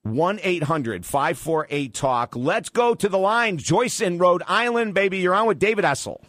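A man's voice: high voice, lively intonation, moderate pace, fairly bright tone, no room sound. A man hosting a live radio talk show from a studio, talking in an upbeat, enthusiastic way and repeating points for emphasis. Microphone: studio microphone.

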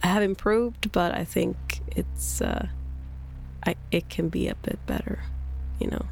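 A woman co-hosting a podcast, speaking English as a second language in halting, hesitant phrasing with lots of "like" and restarts. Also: lowish voice, huge pitch swings, creaky, a little mellow, faint music behind, low-quality recording. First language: not English. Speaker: podcast co-host. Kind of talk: casual podcast chat. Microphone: podcast microphone.

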